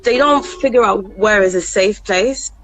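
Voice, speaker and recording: A woman with a slightly hoarse voice co-hosting a casual live chat, candid and blunt, with fillers and small laughs. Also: medium pitch, moderate pace, a crowd in the background. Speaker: co-host.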